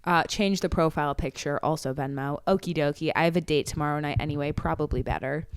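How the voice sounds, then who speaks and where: A woman's voice: low voice, fast talker, smooth, dry recording. A young woman co-hosting a casual podcast, telling stories and reading messages aloud in a mocking, dramatic way. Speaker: podcast co-host. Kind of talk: casual chat, reading ex's emails aloud.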